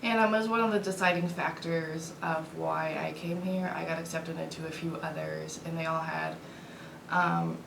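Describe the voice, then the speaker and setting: A woman's voice: deep voice, fairly even intonation, moderate pace, creaky, echoey room, background noise. A young woman in her early twenties from Indiana, answering interview questions hesitantly with many fillers. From Indiana, USA. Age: early 20s. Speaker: student guest.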